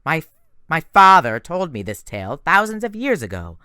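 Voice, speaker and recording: A man's voice: a little high, very animated, normal speed, sharp tone, smooth, no room sound, pitched above the performer's own voice. A man voicing a solemn young huntress who speaks in formal, old-fashioned wording. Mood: grave. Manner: formal archaic wording, grave. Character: solemn ancient young huntress, archaic speech.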